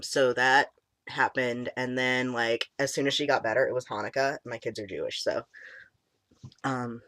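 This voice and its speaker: A young man in casual chat, his voice cracking and squeaky, deep and stuffy from a cold, rambling with many ums and likes. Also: pushed and bright, no room sound. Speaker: vlogger. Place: small room indoors.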